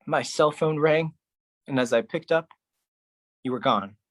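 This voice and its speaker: Solo performer for theatrical spoken-word poetry, mid-pitched voice, slow and deliberate dramatic phrasing.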